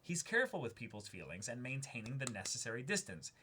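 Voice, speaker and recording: A young man with a bright, forward voice at an ordinary male pitch. He moves between reading aloud and informal commentary, leaning hard on his points and swearing freely. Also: big pitch swings, fast talker, slightly rough. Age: young adult. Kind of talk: casual discussion mixed with reading aloud. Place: small indoor studio with backdrop.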